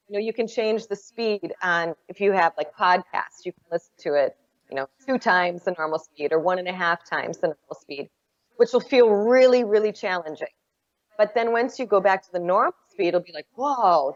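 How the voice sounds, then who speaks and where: A woman's voice: mid-range voice, lo-fi sound. Earnest woman speaking on a panel. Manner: earnest, encouraging, storytelling. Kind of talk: panel talk at a conference.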